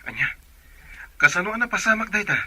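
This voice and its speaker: A man's voice: high-pitched, lively intonation, brisk, bright, forceful tone, full character voice. An actor playing a devoted young man who works away from his family, in radio drama style with a Filipino Ilocano accent. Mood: concerned, affectionate. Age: young adult. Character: devoted young man working away, attentive sweetheart and son.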